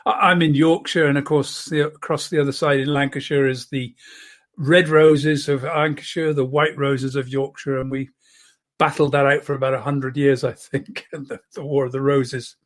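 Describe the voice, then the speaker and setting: Halting older British woman hosting an interview. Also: very deep voice, steady tone. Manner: halting, often repeating words and chuckling. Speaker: show host. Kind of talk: casual video interview.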